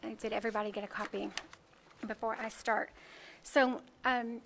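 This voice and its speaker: A soft-spoken woman, a company representative, presenting to a town council. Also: a little high, level tone, normal speed, gravelly, noisy background.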